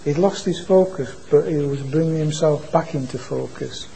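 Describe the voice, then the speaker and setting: A man preaching an informal sermon into a microphone at a church meeting, in a quiet voice that stalls and restarts on words. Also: fairly high pitch, creaky, a little hiss or hum, poor audio. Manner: halting, repeats and restarts words. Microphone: microphone being adjusted.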